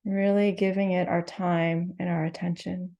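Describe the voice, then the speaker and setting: Meditation teacher, female, low, warm tone with a soft gentle delivery. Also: level tone, vocal fry. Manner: slow, gentle, soothing instructions with long pauses. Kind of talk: guided meditation.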